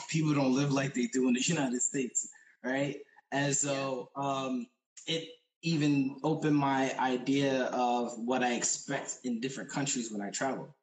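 A man hosting a casual interview, asking long rambling questions in a relaxed, slangy way. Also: mid-range voice. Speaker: interview host. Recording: echoey room.

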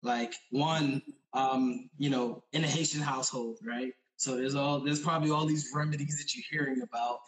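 A man hosting a casual interview, asking long rambling questions in a relaxed, slangy way. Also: medium pitch, moderate pace, few pauses, a crowd in the background. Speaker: interview host. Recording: echoey room.

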